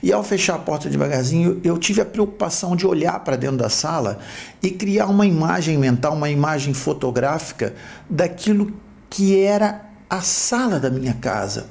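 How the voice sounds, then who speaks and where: Brazilian guy from rio, middle-aged, podcast host telling his story, gravelly voice, kinda halting. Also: a little high, lively intonation, darkish tone. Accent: Brazilian Portuguese, from Rio. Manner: reflective, halting with repeated restarts. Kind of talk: personal storytelling.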